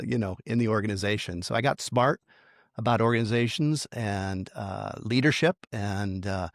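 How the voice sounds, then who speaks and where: A middle-aged man, a leadership coach, speaking thoughtfully in an interview, measured and reflective with frequent fillers and light laughs. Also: lowish voice, no echo, clean studio sound. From United States.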